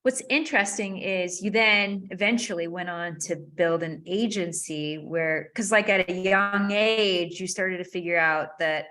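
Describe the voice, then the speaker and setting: A woman's voice: fairly low pitch, unhurried, hardly any pauses, pushed and bright. A woman hosting a podcast interview, summing up and reflecting back her guest's story in a chatty way. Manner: summarizing and reflecting back, frequent "like". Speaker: podcast host. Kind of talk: interview conversation.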